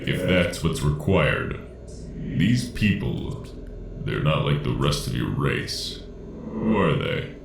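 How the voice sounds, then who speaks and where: A cavernous, echoing voice, of an ancient cryptic alien in a sci-fi audio drama. It is extremely low and gravelly, with sweeping intonation, speaking in a measured, commanding way. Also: male voice, very animated, background noise, exaggerated voice. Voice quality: very deep, gravelly. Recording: echoey, distant, music behind.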